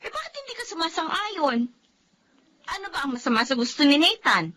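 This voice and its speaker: A woman's voice: sits high, very animated, fairly quick, noisy background. A woman with a Filipino accent playing a blunt, admonishing confidante in a radio drama. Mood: scolding. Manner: emphatic, admonishing. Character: blunt, scolding female confidante lecturing a young wife.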